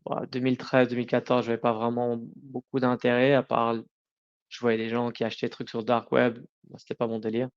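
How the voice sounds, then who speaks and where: A man's voice: mid-range voice, completely flat delivery, highs cut off. A middle-aged man answering interview questions informally, often breaking off and rephrasing. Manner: informal, halting, self-correcting. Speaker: podcast guest, company founder.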